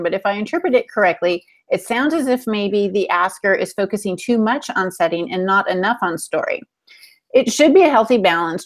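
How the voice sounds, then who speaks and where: A woman bantering as a panelist on a casual writing podcast, playful and sarcastic in her manner. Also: mid-range voice. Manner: playful, mock-offended sarcasm.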